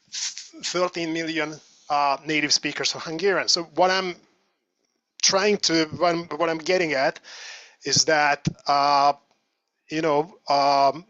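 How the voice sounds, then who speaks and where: A man's voice: fairly high pitch, steady tone, a little slow, sharp tone, no room sound, dull audio. A man asking a long, earnest question in an online academic seminar over a video call. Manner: earnest, argumentative, piles up figures.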